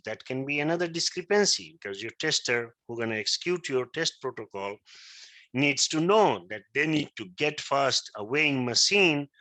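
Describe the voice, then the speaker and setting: A man's voice: medium pitch, bright, forceful tone. A male teacher whose first language is Maithili, giving an interactive class lecture and often checking that his students understand. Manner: keeps checking understanding, asks if he is right.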